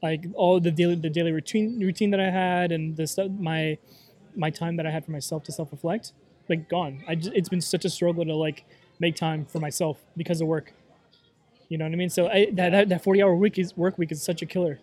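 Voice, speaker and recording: A man in his late twenties chatting casually as host, often repeating words and tossing in quick agreement. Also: a little high, fairly even intonation, a little mellow. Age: 20s. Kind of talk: casual chat.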